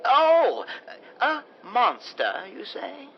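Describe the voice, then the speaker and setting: Casting: unctuous, creepy physician in a radio horror serial, halting delivery with exaggerated swoops. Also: male voice, very high voice, wildly swooping pitch, lo-fi sound, background noise, exaggerated voice. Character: sinister, oily male doctor feigning kindness. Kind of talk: old-time radio horror acting. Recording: band-limited vintage radio sound.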